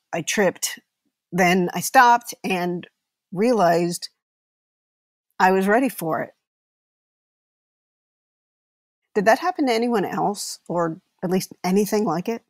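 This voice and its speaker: An actress in an audio play playing a wry, warm-hearted woman among family, in a naturalistic comic style. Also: on the low side, a little slow, no room sound. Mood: reflective, concerned. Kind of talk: naturalistic comedy acting.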